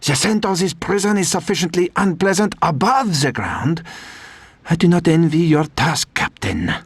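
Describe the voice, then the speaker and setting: An actor playing a courteous, wry French prisoner of war officer in a video game, speaking formally with a French accent. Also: male voice, a little high, expressive, husky. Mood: wry, sympathetic. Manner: measured, formal, slightly theatrical. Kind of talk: video game cutscene acting.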